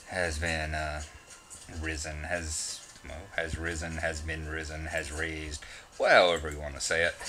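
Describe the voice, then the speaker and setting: A man with a Southern US accent giving casual, rambling gameplay commentary with self-mocking asides. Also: very deep voice. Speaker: game walkthrough narrator.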